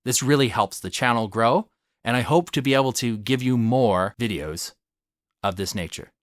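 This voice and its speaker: A man's voice: mid-range voice, normal speed, no echo, clean studio sound. A man delivering a scripted opinion video essay in a formal, earnest, articulate way. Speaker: video essayist and channel host.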